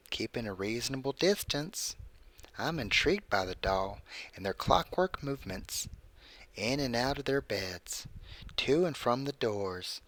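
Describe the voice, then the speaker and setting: The cold, lonely spirit of a horror-story podcast, solemn and brooding in a bright, close voice, read by a man, haunting dark hallways at night. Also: mid-range voice, dry recording. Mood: watchful, intrigued. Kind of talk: first-person creepy story reading.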